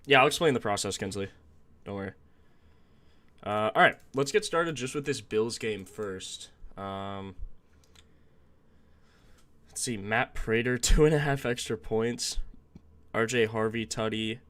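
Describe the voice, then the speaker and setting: Slightly raspy man chatting on a stream. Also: fairly low pitch, unhurried, pauses often, a bit bright. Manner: chatty, thinks aloud, greets chat viewers. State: slightly raspy from a sore throat. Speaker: sports betting stream host. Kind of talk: casual live-stream chat.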